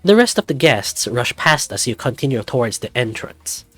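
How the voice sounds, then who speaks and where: A man narrating a visual novel in the second person in a lively storytelling manner. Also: mid-range voice, moderate pace, faint music behind.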